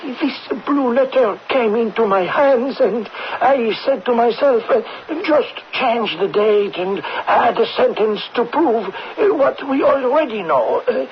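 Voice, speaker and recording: A man's voice: very high voice, expressive, full character voice. A man in an old radio drama playing a shifty, self-justifying army major who hems and haws as he talks. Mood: nervous, evasive. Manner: halting, hemming and hawing. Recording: noisy muffled old radio recording.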